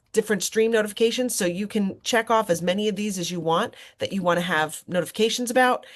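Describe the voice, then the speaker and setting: A woman presenting an informal software workshop as an online instructor, talking conversationally and encouragingly with examples from her own teaching. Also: lowish voice. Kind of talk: informal software tutorial with screen demonstration.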